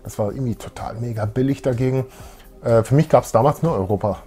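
A man's voice: mid-range voice, fast talker, mellow, faint music behind. Man in his thirties, casual co-host. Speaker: YouTube co-host. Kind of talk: casual fan chat. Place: small room full of collectibles, indoors.

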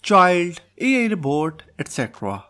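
A man with a high-pitched, animated voice hosts a scripted software tutorial. He explains things step by step over background music. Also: measured pace. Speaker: tutorial host. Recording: music behind the voice.

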